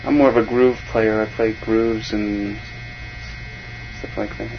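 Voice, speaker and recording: An older man, a drummer, chatting casually and agreeably, with quick murmurs of agreement. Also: lowish voice, fairly even intonation, lo-fi sound, a little hiss or hum. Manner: agreeable, quick backchannels, laughs easily. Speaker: drummer, guest. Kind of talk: casual music chat.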